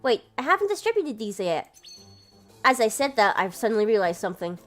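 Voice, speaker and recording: Picture a sheepish, exasperated young man telling his own story as a game narrator, read by a woman. The voice stays fairly high with only a slight drop for the role. The delivery is measured and steady. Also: soft background music. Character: young adult man, a flustered older brother who narrates. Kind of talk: reading a visual novel aloud.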